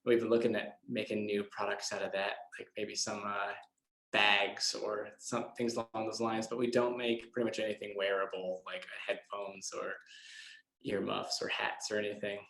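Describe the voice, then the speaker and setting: A man's voice: on the low side, level tone, normal speed, gravelly, a bit bright. Echoey man answering questions, plain-spoken. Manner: hesitant, self-deprecating, plain-spoken. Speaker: guest speaker from an eco products company. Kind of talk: casual question-and-answer talk with children. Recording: echoey room sound.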